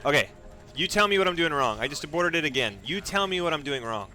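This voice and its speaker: A man's voice: a little high, lively intonation, fast talker, sharp tone. A man giving live speedrun commentary as he plays, narrating his own moves, repeating phrases and using mild exclamations instead of swear words. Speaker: video game streamer and speedrunner.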